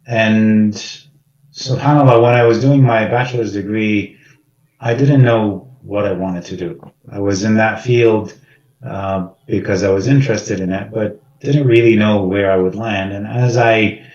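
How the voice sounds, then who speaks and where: A man from Iraq on a community panel, telling his own story in a soft-spoken, hesitant way. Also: on the low side, steady tone, unhurried. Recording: roomy, distant and dull.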